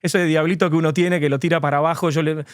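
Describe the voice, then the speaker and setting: A man's voice: on the high side, steady tone, fast talker, no echo, studio quality. A man, an immigration lawyer, answering interview questions earnestly and at length, with frequent fillers.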